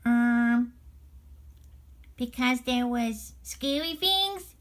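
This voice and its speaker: A woman's voice: on the high side, expressive, drawn-out words, voice pushed up. A woman voicing a small, childlike, curious and easily worried character for a children's storytime. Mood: earnest. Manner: childlike, eager, worried exclamations and questions. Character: Squishy, curious anxious childlike little puppet pal.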